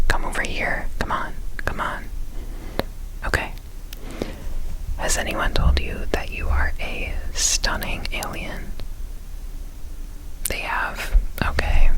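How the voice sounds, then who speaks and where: Very slow whispered ASMR roleplay voice. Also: rough recording. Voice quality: soft breathy whisper. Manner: slow, gently repeating words. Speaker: ASMR creator playing an examiner in a roleplay. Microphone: close microphone.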